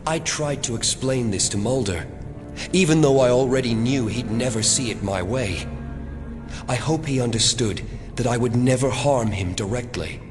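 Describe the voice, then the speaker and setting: An actor playing a wounded, self-justifying young man with an earnest, deliberate manner in an audio drama, music underneath. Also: medium pitch, close to an everyday voice. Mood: coldly self-justifying.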